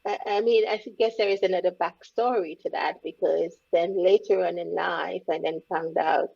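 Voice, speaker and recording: A woman talks in a low, gravelly, husky voice. She is mellow and reflective as she shares her story. The audio is dull and lo-fi. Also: fairly even intonation, normal speed. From Caribbean, born in Grenada, raised in Trinidad. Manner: reflective, speaks openly about hard memories. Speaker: guest, empowerment coach. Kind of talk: candid interview conversation.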